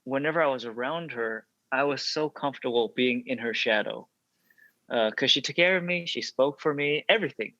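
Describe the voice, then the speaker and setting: A young adult man from Texas, an actor and podcast guest, telling stories in a relaxed interview, reflective and full of ums and restarts. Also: mid-range voice, moderate pace, no room sound. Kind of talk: casual interview storytelling. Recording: dry, slightly dull sound.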